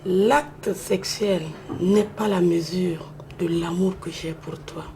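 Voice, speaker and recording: A woman's voice: lowish voice, fairly even intonation, takes it slowly, vocal fry, mellow, a little hiss or hum. A woman playing a loving but firm, principled young girlfriend in an educational film drama. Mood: tender, firm. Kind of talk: scripted dialogue in an educational film.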